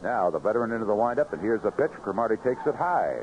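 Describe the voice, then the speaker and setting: An American man calling baseball play-by-play live on the radio in clipped phrases, in an animated manner. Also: on the low side, quick pace. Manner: animated calls rising sharply on big plays, clipped phrases. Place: ballpark broadcast booth. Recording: noisy, muffled vintage AM radio broadcast.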